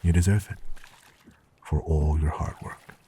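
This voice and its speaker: A man acting a relaxed, encouraging monster-hunting guild member in an audio drama, casual and approving. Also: rumbling low, unhurried, a little hiss or hum. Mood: pleased, congratulatory.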